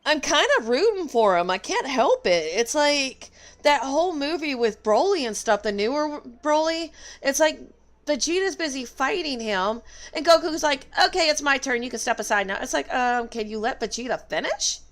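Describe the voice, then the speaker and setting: A woman's voice: sits high, lively intonation, normal speed. A woman game streamer talking casually on a livestream and reading game dialogue aloud. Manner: opinionated, emphatic.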